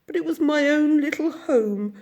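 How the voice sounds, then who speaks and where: A woman voicing a timid, homesick little male mole who pleads and sobs in a high voice. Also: moderate pace, a little mellow. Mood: sobbing. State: crying.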